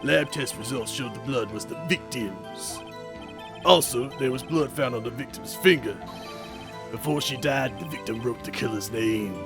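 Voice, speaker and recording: A man's voice: medium pitch, normal speed, gravelly, over music, natural voice. A man voicing a blustering, easily flustered police detective in a video game, stammering and folksy. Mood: boastful. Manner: stammering, folksy, says pal.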